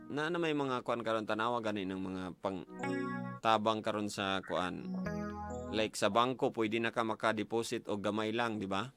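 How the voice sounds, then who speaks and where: A man's voice: on the low side, normal speed. A man with a Filipino accent, a native Cebuano speaker, hosting a casual advice show, asking probing questions and giving advice. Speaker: radio host interviewing callers. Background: background music.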